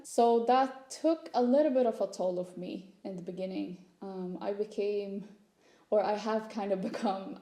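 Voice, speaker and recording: A young woman from Sweden speaks English, in a relaxed, mellow voice of medium pitch. She tells personal stories in a chatty, candid vlog style. The room adds a noticeable echo around her words. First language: Swedish. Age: young adult. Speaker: content creator. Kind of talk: casual personal vlog chat. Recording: roomy echo.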